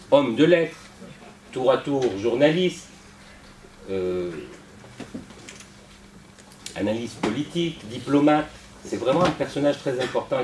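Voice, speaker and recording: Host introducing a speaker, earnest, roomy echo with clear noise. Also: male voice, mid-range voice. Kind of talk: formal introduction. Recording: echoey hall with noise.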